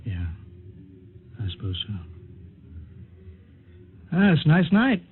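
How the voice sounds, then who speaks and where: An actor playing a steady male officer in a 1950s radio drama, talking in a calm, reassuring way. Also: fairly high pitch, takes it slowly, husky, narrow, tinny audio, exaggerated voice. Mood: grim. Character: steady male officer running a rocket test. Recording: thin 1950s radio transcription.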